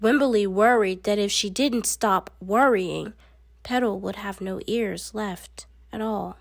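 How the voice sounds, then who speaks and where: A woman reading a children's picture book aloud in an expressive, deliberate storytelling manner. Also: mid-range voice, gravelly, no echo, clean studio sound. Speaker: storybook reader.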